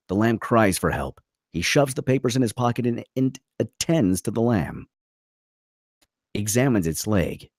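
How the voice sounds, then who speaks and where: Low male narrator, animated intonation, dry close sound, dramatic screenplay reading, stage directions. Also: dry recording. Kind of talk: dramatic scripted narration at a table read.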